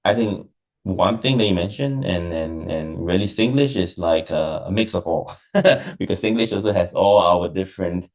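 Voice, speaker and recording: A man's voice: deep voice, normal speed, gravelly, darkish tone, rough recording. A Singaporean man with a Singaporean English accent, answering interview questions casually, hesitant with many fillers. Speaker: interviewee, businessperson.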